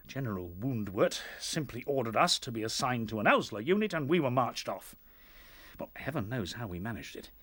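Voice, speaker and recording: Husky, weary British rabbit officer. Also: male voice, mid-range voice, fairly bright tone, dry recording, full character voice. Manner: grave, dramatic storytelling. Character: weary, earnest male rabbit officer recounting hardship. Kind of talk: radio drama acting.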